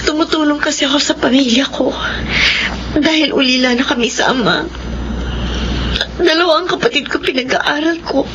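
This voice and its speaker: An actress playing an anguished, dutiful eldest daughter in a Filipino radio drama, with halting, emotional delivery and a Filipino accent. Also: female voice, high-pitched, background noise, lightly acted. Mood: anxious. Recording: dull radio audio under clear music.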